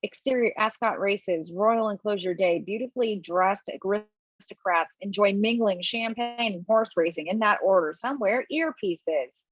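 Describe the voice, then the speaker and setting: A woman narrating stage directions at a table read from home, lively and expressive, with small slips. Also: medium pitch, normal speed, no room sound. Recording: dull lo-fi, dry.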